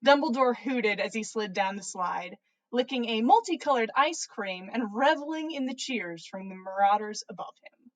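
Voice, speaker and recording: A woman's voice: a little high, animated, normal speed, rough recording. A woman podcast host with an informal manner, reading stories aloud and chatting casually. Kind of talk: animated story reading and casual livestream chat.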